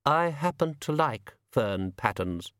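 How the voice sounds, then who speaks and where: A man's voice: on the high side, lively intonation, moderate pace, no room sound, studio quality, voice pushed up. A man voicing a vain, fussy, icy male frost spirit in an audiobook, haughty and defensive. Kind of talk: audiobook character reading.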